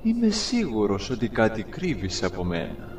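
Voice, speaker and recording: A man's voice: on the low side, huge pitch swings, echoey room, distant mic, faint music behind. A man voicing a stern, commanding old sea king who is a strict father. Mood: suspicious. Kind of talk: fan dub acting.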